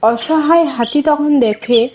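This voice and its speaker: A woman's voice: high-pitched, slow pace, a little mellow, slight background noise. A woman narrating a scripted children's folk tale.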